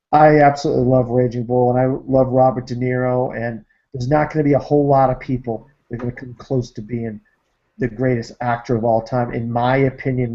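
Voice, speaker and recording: A man's voice: medium pitch, dark tone. A man from Massachusetts hosting a movie podcast panel, talking enthusiastically with many ums and uhs over dull online call audio. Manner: enthusiastic, filler-heavy. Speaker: podcast host. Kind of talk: casual panel show wrap-up.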